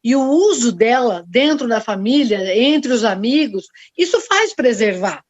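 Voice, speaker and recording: A woman in her sixties moderating a panel, speaking in a measured, explanatory way over a video call. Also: a little high, lively intonation, no echo. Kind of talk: discussion panel talk. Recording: dry, dull video call audio.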